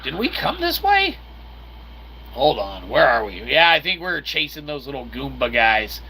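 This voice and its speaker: A man giving casual video game commentary in a playfully cocky manner, talking as "we", with a gravelly voice. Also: medium pitch, wildly swooping pitch, unhurried, sharp tone, a little hiss or hum. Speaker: gameplay host.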